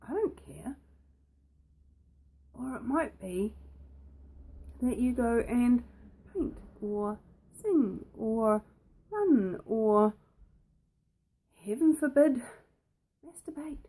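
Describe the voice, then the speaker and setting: A woman with a croaky voice, hosting and talking casually to the viewer, playful and full of questions put straight to them. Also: a little high, lively intonation, drawn-out words, long pauses, mellow, poor audio. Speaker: host. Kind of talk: casual talk to camera.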